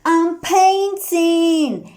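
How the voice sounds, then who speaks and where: A woman reading a picture book aloud to young children, animated and sing-song, putting questions to the listeners and singing the refrains. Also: very high-pitched, drawn-out words.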